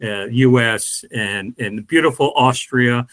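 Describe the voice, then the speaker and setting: An older man co-hosting a video call chat, animated and joking. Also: mid-range voice, takes it slowly, no echo. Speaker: co-host. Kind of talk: casual chat.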